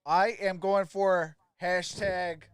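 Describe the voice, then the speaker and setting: Guy streaming basketball play-by-play, high clear voice, super dry close sound, chatting w viewers. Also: flat delivery, slow, no echo. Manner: informal, addressing viewers by name. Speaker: livestream host doing play-by-play. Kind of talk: casual live sports commentary, chatting with viewers.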